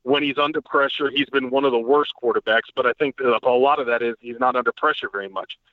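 A man's voice: medium pitch, fast talker, dry recording. Man on a tinny phone-line call. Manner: animated, profane, joking. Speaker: podcast guest. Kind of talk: casual sports podcast chat.

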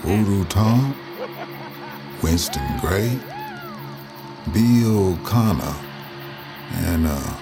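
A man's voice: a bass voice, full character voice. An actor playing a grave storyteller with a Southern US accent, recounting his past in an audio drama. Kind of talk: first-person audio drama narration. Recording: music behind the voice.

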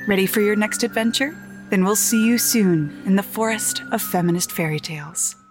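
A woman's voice: medium pitch, moderate pace. A woman reading scripted trailer narration in an inviting storybook promo style. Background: music.

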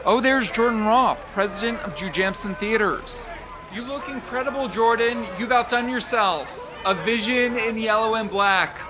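An actor playing an effusive, arch theater gossip reporter in an audio drama, gushing like a red-carpet commentator. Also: male voice, high voice, normal speed, music in the background, a crowd in the background, lightly acted. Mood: gushing, thrilled. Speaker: entertainment reporter.

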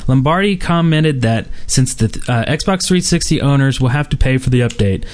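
A man hosting a casual gaming podcast. He rambles through the news, padding it with "um" and "uh" and restarting his sentences. His voice sits at a middle pitch for a man. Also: lively intonation, normal speed. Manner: rambling, many fillers and restarts. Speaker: podcast host.

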